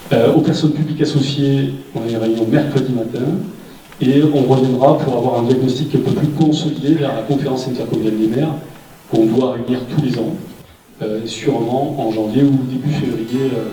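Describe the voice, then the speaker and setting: A man's voice: medium pitch, brisk, dark tone. A man speaking at a public meeting, explaining things in an informal, plain-spoken, conversational way. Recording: roomy, distant mic, background noise.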